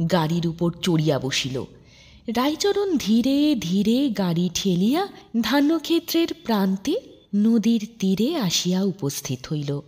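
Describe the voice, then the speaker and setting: Slow, high-voiced woman reading a story aloud. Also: breathy edge. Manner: measured, expressive, formal literary delivery. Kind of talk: scripted narration, expressive audiobook reading.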